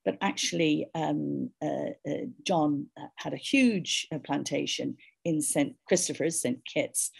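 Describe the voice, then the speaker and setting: An older woman lectures over a video call, her voice low and gravelly with a husky edge. She speaks in a measured academic way, often pausing on fillers and restarting phrases. The sound is a webcam mic in a book-lined room. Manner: measured, many fillers and restarts, asks for next slide. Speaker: keynote speaker, historian. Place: library room with bookshelves, indoors.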